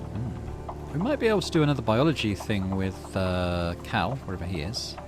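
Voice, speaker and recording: A man doing casual, low-key game commentary and reading story text aloud as he plays. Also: lively intonation, normal speed. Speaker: gaming channel host. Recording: music behind the voice. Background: game music.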